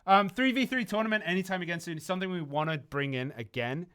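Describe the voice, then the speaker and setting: A man chatting casually on a game livestream, explaining things loosely with plenty of ums and false starts. His voice is bright and smooth, sounding clear and even. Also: high-pitched, quick pace. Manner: relaxed, rambling explanations with many ums and restarts. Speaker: community manager on a game stream. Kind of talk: casual livestream chat answering viewer questions.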